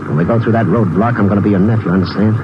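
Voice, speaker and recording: Low-voiced menacing outlaw on the run played by an actor. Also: male voice, very fast, close to an everyday voice. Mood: cold, threatening. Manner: terse, evasive, hard-boiled threats. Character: edgy, menacing male escaped convict hitchhiker. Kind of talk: 1950s Western radio drama acting. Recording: noisy, muffled old radio broadcast.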